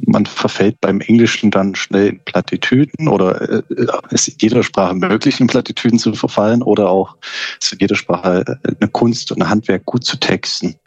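A man in a band answering interview questions thoughtfully, pausing often with fillers. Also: on the low side, slightly rough, no echo. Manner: thoughtful, hesitant, many pauses. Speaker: band member. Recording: slightly dull, lo-fi sound.

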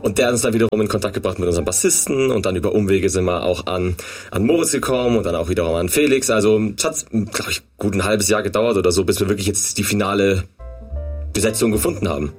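A man's voice: lowish voice, breathy edge, faint music behind. A man, singer and producer of a band, explaining at length as a guest in a radio studio, with many fillers. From Munich area. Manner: quick, rambling explanations with fillers.

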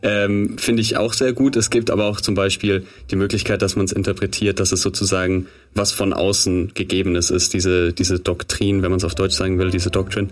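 A man's voice: low voice, little pitch movement, moderate pace, hardly any pauses. A 22-year-old man from Würzburg, a band drummer, chatting casually in a radio interview.

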